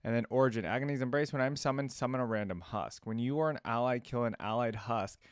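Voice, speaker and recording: A man's voice: mid-range voice, quick pace, clear, resonant tone, no echo, studio quality. A man in his twenties hosting a casual reaction video with off-the-cuff commentary. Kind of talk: casual reaction commentary, sometimes reading cards aloud.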